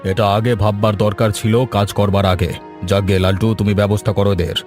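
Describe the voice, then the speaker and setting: Guy doing a deep village chief for a cartoon, authoritative but fair, faint music behind. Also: level tone, moderate pace, darkish tone, natural voice. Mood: shocked, stern. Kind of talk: Bengali village cartoon voice acting.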